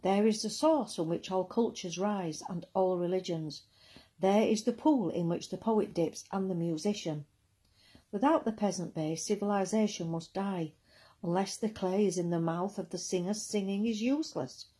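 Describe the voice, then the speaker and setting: Woman reciting poetry, soft mellow tone. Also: medium pitch, moderate pace, slightly rough. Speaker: poetry reader.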